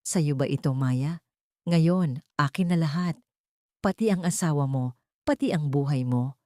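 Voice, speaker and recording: A woman's voice: low voice, unhurried, warm tone, dry recording, studio quality. A woman voicing a greedy, treacherous false best friend in an audio story, with a gloating, menacing manner. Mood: gloating menace.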